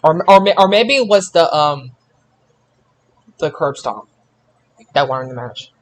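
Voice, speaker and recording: A man's voice: a little high, expressive, takes it slowly. A man hosting a casual wrestling results discussion, stumbling over names and repeating himself. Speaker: podcast host. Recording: clear background noise.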